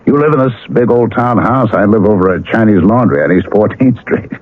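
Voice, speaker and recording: An actor playing a down-to-earth struggling painter and old friend in a 1970s radio drama, plain and colloquial. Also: male voice, fairly low pitch, a little fast, lightly acted. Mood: evasive, offhand. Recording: muffled, lo-fi old radio broadcast.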